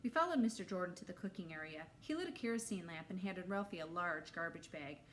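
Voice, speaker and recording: A woman reading a story aloud to young children, narrating expressively and deliberately. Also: lowish voice, expressive. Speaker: read-aloud storyteller for first graders. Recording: faint background noise.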